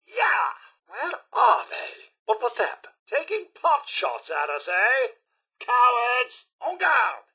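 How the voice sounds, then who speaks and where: A man voicing a fussy, gentlemanly robot butler with a posh British accent and an exclamatory manner, in video game voice lines. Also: extremely high pitch, wildly swooping pitch, slow, husky, exaggerated voice. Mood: excited, combative. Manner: posh, theatrical, polite, exclamatory. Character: eager, fussy, gentlemanly robot butler, male, itching to fight.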